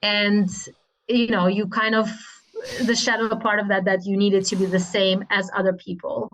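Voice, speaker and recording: A woman's voice: mid-range voice, little pitch movement, normal speed, low-quality recording. A woman from Russia with a Russian accent, speaking thoughtfully as a guest in a casual spiritual conversation, often restarting her sentences. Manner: thoughtful, with frequent restarts and soft laughs.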